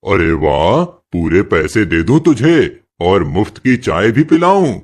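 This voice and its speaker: Man playing a stingy, scolding boss, low-pitched. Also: big pitch swings, slow, a little mellow, full character voice. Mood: angry, sarcastic. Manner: scolding, sarcastic, bossy. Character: stingy, harsh male tea-shop boss, quick to anger. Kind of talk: animated moral story acting.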